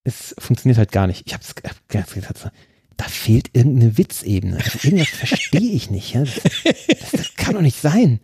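Enthusiastic man on a podcast, husky. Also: mid-range voice, very animated, moderate pace, darkish tone, dry recording. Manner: enthusiastic, laughs often. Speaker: podcast host. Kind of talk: casual chat.

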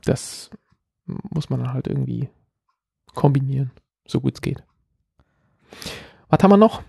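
A middle-aged man with a light Berlin accent and a dark, gravelly voice. He co-hosts a casual podcast, rambling and mocking himself as he tells stories. The sound is dry and close. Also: mid-range voice, lively intonation, measured pace, dry recording. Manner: rambling, self-mocking storytelling. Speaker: podcast co-host.

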